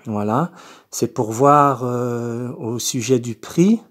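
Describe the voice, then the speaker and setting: A man's voice: medium pitch, slow, natural voice. Man putting on a rambling old Belgian lady. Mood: hesitant, polite. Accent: Belgian French. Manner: hesitant, rambling, repetitive. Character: confused, insistent eighty-year-old Belgian woman, polite and chatty. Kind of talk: prank phone call.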